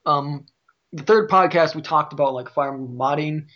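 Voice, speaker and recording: Midwestern American man, lightly high voice, muffled audio. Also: moderate pace, fairly bright tone. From Midwest United States. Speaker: podcast host. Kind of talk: casual podcast discussion.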